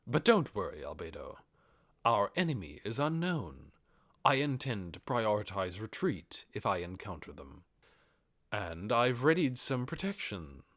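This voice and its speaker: Male narrator as undead master, audiobook character, cautious, commanding, measured, gracious, lively intonation. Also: lowish voice, no room sound, studio quality, exaggerated voice. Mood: resolute. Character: undead overlord with red-spark eyes, cautious, gracious master.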